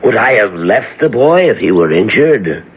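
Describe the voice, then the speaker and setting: A husky, prickly professor. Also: male voice, fairly low pitch, sing-song, moderate pace. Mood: defensive. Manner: defensive, indignant. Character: evasive, prickly philosophy professor. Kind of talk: 1970s radio drama acting. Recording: muffled old radio broadcast.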